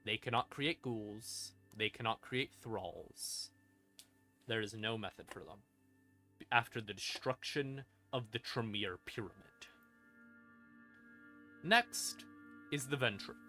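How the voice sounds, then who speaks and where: A man's voice: lowish voice, takes it slowly, pauses often, pushed and bright. A man hosting a livestream, explaining game lore in a casual, informal, sweary and emphatic way. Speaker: live streamer, host. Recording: ambient music behind the voice. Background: creepy ambient music.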